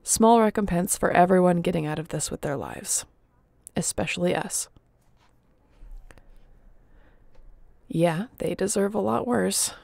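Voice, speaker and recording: A woman's voice: lowish voice, normal speed, vocal fry, a little mellow, no room sound. A woman playing a resilient young apocalypse survivor in an audio roleplay, speaking intimately and earnestly with a wry edge. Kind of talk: dramatic audio roleplay addressed to the listener.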